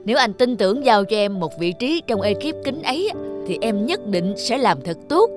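A woman's voice: medium pitch, sing-song, normal speed, over music, natural voice. A woman voicing an ingratiating male factory employee in a cartoon, deferential and hesitant toward superiors. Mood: eager, fawning. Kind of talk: cartoon voice acting.

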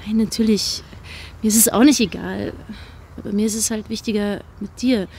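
A woman's voice: medium pitch, fairly even intonation, moderate pace, creaky, darkish tone, slight background noise, close to an everyday voice. An actress playing a guarded young female student, hurt and earnest, in a naturalistic audio drama. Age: young adult.

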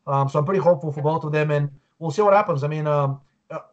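A man's voice: on the high side, fast. Guest, man, 40s, from Bulgaria, earnest explainer, home video call, muffled lo-fi sound. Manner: earnest, explanatory, many restarts. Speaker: guest researcher. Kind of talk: interview over video call. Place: home room, indoors. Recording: dull lo-fi call audio.

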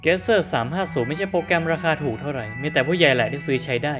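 A dubber voicing a fast-talking smug cartoon teen. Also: mid-range voice, animated, over music, natural voice. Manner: lectures rapidly, smug and mocking. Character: know-it-all smart teenage son, smug. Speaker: son at the poker table. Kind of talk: Thai fan dub of a cartoon.

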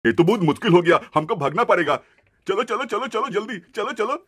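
A man's voice: sits high, lively intonation, rapid-fire, fairly bright tone. A man voicing a sly, lecherous snack-seller villain in a puppet cartoon, wheedling and pushy. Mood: urgent, defiant.

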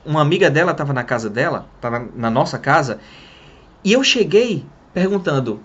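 A young man from Brazil explains language learning straight to the camera in a lively, sing-song way. His voice has a middling pitch that swoops up and down with emphasis. The sound is a little dull and lo-fi, as from a webcam in a small room. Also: normal speed, slightly rough. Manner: lively, talks with his hands. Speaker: English teacher. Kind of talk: casual spoken lesson to camera. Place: small room in front of a printed wall.

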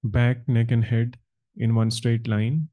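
A man leading a guided meditation as a spiritual teacher, speaking in calm, measured, deliberate short phrases. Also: mid-range voice, dull audio. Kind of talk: slow guided meditation instruction.

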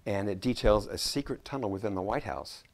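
A man in his sixties with a lowish voice, talking as an interview guest. He tells stories earnestly and drops in quick jokes, recorded on a lapel mic in a living-room set. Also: gravelly. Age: 60s. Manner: joking, earnest storyteller. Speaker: guest scriptwriter. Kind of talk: casual interview chat.

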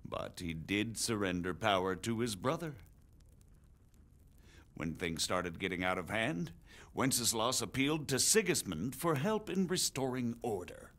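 A man's voice: on the low side, animated, measured pace, fairly bright tone. An actor playing a middle-aged nobleman who earnestly explains royal politics in formal game dialogue. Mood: grave. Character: grave middle-aged male nobleman, measured and persuasive. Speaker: visiting margrave explaining politics. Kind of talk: video game cutscene dialogue.

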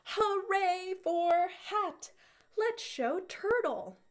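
Woman voicing a very high, cheerful storybook animal. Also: expressive, drawn-out words, heavily acted. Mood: excited cheering. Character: cheerful storybook animal friends, eager to share.

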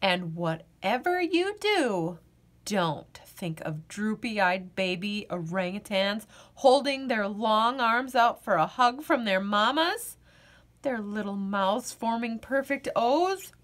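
A woman reading a children's picture book aloud, playful and expressive, acting out the yawns as she goes. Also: mid-range voice, slow.

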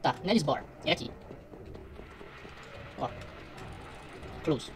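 A man's voice: a little high, lively intonation, slow, background noise. A young Brazilian man giving casual, slangy let's play commentary, muttering to himself as he goes. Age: young adult. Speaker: gaming streamer.

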